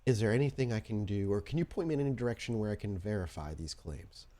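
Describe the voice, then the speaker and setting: A man playing a blunt, wary female vampire negotiator in a tabletop game, speaking in a plain, halting, guarded way. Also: on the low side, expressive, warm tone, close to an everyday voice. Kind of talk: tabletop roleplay in character.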